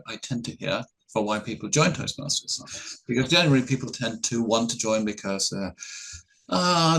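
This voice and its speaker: A man's voice: medium pitch, fairly bright tone, low-quality recording. Middle-aged guy speaking english, lots of ums and uhs, easygoing and a bit self-mocking. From Norway. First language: Norwegian. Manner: hesitant, many ums and uhs, chuckles, self-deprecating. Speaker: interview guest. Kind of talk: relaxed conversational answers.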